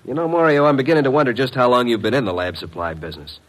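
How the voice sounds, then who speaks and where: An actor playing a wisecracking, cheeky detective's assistant with a wry, breezy manner in an old-time radio drama. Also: male voice, medium pitch, very animated, rapid-fire, natural voice, low-quality recording. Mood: needling.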